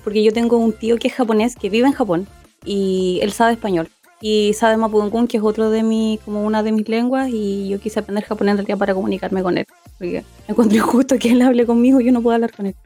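A woman's voice: medium pitch, fairly even intonation, fast, warm tone, soft background music. A woman, a language teacher chatting candidly. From Chile. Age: 20s. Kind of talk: casual group chat.

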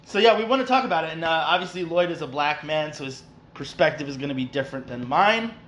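A man's voice: fairly high pitch, expressive, fast talker, a bit bright. A man from New York hosting a casual podcast, rambling with many fillers and restarts. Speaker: podcast host.

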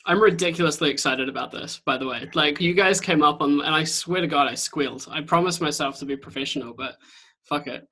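A man with a New Zealand accent hosting a podcast interview over a video call, chatty and excitable, swearing casually. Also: fairly high pitch, a little fast. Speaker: podcast host.

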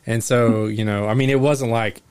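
A man's voice: medium pitch, steady tone, moderate pace, a little mellow, clear, resonant tone, dry recording. A man from Oklahoma with a mild Oklahoman accent, chatting casually and rambling with many fillers. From Oklahoma, USA. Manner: rambling, filler-heavy, laughs often. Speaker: conversation partner. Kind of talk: casual chat.

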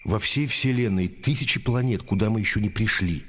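A man's voice: lowish voice, brisk, slight background noise, natural voice. Brusque, commanding senior nobleman played by a man. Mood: firm, admonishing. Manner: brusque, authoritative, admonishing. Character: stern senior nobleman, a small thin commanding veteran official. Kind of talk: radio drama acting.

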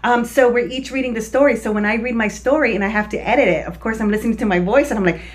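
A woman's voice: a little high, fast talker. Laughing lisping woman podcaster. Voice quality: lisp. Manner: laughs often, swears freely. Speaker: podcast co-host. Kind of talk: casual chat.

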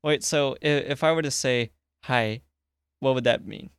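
A young man chats in an easygoing way, reassuring his friend and laughing often. His mid-pitched voice is smooth and clear. The recording is dry and close, with no room sound. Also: unhurried, no echo. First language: English. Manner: relaxed, reassuring, laughs easily. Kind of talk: casual chat.

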